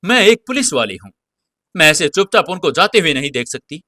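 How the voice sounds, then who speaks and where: A man voicing a fiery, defiant young policewoman in a dramatized audio story, forceful and commanding. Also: a little high, sing-song, brisk, no room sound, studio quality, voice pushed up. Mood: frustrated.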